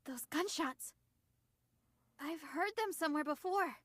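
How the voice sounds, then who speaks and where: A woman's voice: sits high, measured pace, no room sound. A woman voicing an earnest, girlish android gunner in an anime-style game. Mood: shocked. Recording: loud music behind the voice.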